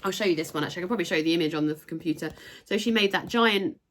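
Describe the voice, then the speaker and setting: A woman's voice: on the low side, rapid-fire, a bit bright, a little hiss or hum. Chatty woman vlogger, slightly nasal. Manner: chatty, enthusiastic, showing items to camera. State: nasal from a recent cold. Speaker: crochet designer and channel host. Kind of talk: casual vlog to camera.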